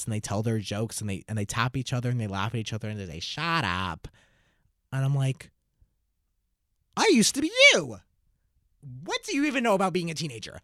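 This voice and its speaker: A nineteen-year-old man hosting his own podcast, talking alone in an animated, theatrical way with sudden loud bursts of play-acting. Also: mid-range voice, no echo, clean studio sound. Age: 19, nearly 20. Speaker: podcast host. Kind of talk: solo podcast monologue.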